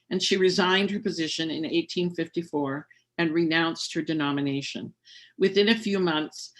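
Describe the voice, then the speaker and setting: A lay speaker reading a historical tribute aloud during a worship service, in a measured way with brief personal asides. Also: medium pitch, fairly bright tone.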